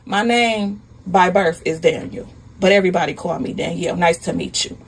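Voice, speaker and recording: A woman's voice: fairly low pitch, moderate pace, vocal fry, a little hiss or hum. A woman voicing a sassy, unbothered transgender woman caught in an affair, dismissive in manner, within a spoken story. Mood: cool introduction.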